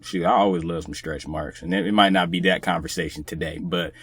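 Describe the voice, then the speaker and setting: A man's voice: low-pitched, steady tone, a little fast, fairly bright tone, slightly rough, no room sound. A man talking casually as a guest on a podcast. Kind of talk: casual chat.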